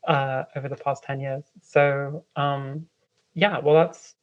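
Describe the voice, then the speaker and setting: Slightly lo-fi audio, woman, London accent, low voice, discursive interview guest, hesitant casual delivery. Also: fairly even intonation, takes it slowly. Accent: London English. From London, England. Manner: hesitant, discursive, frequent "like" and "kind of". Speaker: interview guest, writer and editor. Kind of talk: casual explanatory interview talk.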